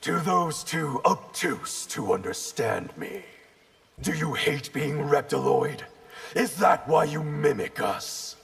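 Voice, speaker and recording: A man voicing a cold, contemptuous ninja warrior in a video game, with a gravelly rasp and a menacing, haughty delivery. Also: on the high side, animated, takes it slowly, a bit bright, exaggerated voice. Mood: cold menace. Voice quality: raspy, gravelly. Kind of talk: video game character dialogue.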